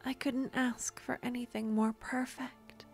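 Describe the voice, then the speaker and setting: A woman voicing an obsessive, lovesick young necromancer stalker in an intimate ASMR roleplay, with a breathy, adoring, possessive murmur that often slips into whispers. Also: fairly high pitch, flat delivery, soft background music. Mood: blissful. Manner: adoring, possessive, halting dramatic delivery.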